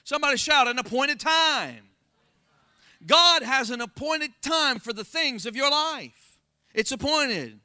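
High, bright Florida preacher man. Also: expressive, measured pace. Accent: Floridian Southern American. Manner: call-and-response, folksy, rising to emphatic exclamations. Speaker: pastor. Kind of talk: preaching a sermon.

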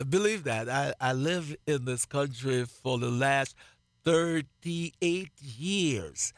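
A man with a Jamaican accent, preaching on a radio broadcast in a slow, emphatic way and repeating key phrases. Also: mid-range voice, no echo. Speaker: pastor. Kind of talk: radio sermon, co-hosted Bible teaching.

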